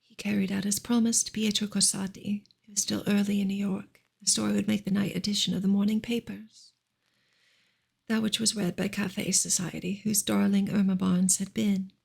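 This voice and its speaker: A woman reading a classic novel aloud as soft ASMR, with a hushed, gentle, measured delivery and a breathy, husky voice. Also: mid-range voice, level tone. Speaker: ASMR reader and livestream host.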